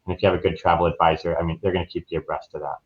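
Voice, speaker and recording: A man's voice: sits low, flat delivery, rapid-fire. A man speaking as an interviewed travel advisor, explaining things in a casual, informal, loosely phrased way. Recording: dull, muffled lo-fi audio.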